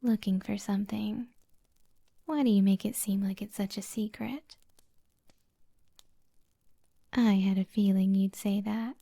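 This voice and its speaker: Breathy whispering witch, played by a woman, soft and dark. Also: medium pitch, fairly even intonation. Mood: tender, teasing. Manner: slow, soothing, gently teasing. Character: kind, flirty, teasing witch healer of the woods. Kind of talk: ASMR roleplay, intimate and soft-spoken.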